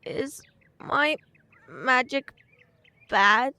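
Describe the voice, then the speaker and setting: A woman's voice: high voice, expressive, drawn-out words, pushed and bright, heavily acted. A woman playing a timid, troubled young boy in an audio drama, hesitant and stammering. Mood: worried, timid.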